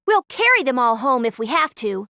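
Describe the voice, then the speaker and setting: A woman's voice: high voice, sing-song, normal speed, bright, forceful tone, no room sound, clean studio sound, full character voice. A woman voicing a brash, protective young girl in an anime game, blunt and exasperated. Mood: resolute.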